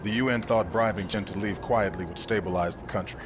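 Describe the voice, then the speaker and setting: An actor playing a seasoned, weary soldier in a video game, speaking in a wry, matter-of-fact way. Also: male voice, lowish voice, brisk, close to an everyday voice. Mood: grim, matter-of-fact. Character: seasoned, weary male soldier telling grim past missions. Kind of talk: video game cutscene acting. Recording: loud vehicle and outdoor noise behind.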